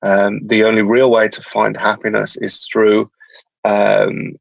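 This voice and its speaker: Guest: man, Birmingham accent, low gravelly voice, dry close sound, hesitant delivery. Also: completely flat delivery, measured pace, dry recording, lo-fi sound. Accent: Birmingham English. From England, Birmingham. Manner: hesitant, full of ums and restarts. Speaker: podcast guest, company founder. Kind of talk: casual interview talk.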